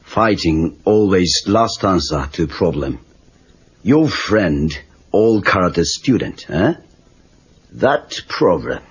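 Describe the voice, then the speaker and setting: An actor playing a wry, calm old Okinawan karate master with a Japanese accent, speaking terse, clipped broken English in a husky voice. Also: male voice, deep voice, takes it slowly, full character voice. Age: older. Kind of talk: film dialogue. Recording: dull lo-fi cassette tape.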